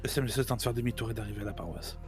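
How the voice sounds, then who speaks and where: A man playing an elderly, eccentric, mischievous priest with a laconic deadpan manner in improvised game roleplay. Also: medium pitch, rapid-fire, soft background music, close to an everyday voice. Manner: deadpan, clipped agreement.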